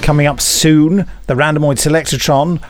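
A man's voice: a little high, steady tone, normal speed, loud music behind the voice. A man co-hosting a podcast in casual comic chat, playful and fond of wordplay. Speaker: podcast co-host.

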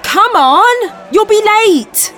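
A woman's voice: extremely high pitch, expressive, drawn-out words, fairly bright tone, faint music behind, full character voice. An actress playing a caring older sister who teases and hurries her younger brother along, in an audio drama. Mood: urging. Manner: coaxing, bossy then tender.

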